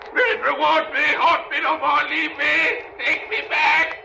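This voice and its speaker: An actor playing an anguished old miser in a 1930s radio drama, pleading theatrically on a muffled broadcast with music behind. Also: male voice, extremely high pitch, unhurried, creaky, pushed and bright, reverberant, a little hiss or hum, exaggerated voice.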